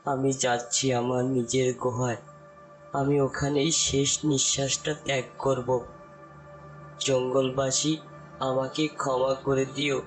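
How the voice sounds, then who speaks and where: Man playing a slow-talking old lion, pleading. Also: mid-range voice, little pitch movement, faint music behind, natural voice, low-quality recording. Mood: feigned remorse. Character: old lion pleading for forgiveness, feigning frailty. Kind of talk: cartoon voice acting.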